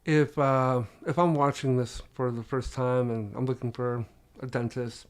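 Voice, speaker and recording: A man's voice: mid-range voice, fairly even intonation, unhurried. A man hosting a podcast interview, affirming his guest and asking probing questions. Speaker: podcast host.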